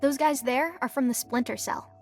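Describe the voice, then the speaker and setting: A woman voicing a brash, excitable teenage girl in a video game, with a theatrical, cheeky delivery. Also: high-pitched, normal speed, soft background music. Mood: defiant. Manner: theatrical, cheeky, self-scolding. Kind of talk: video game cutscene voice acting.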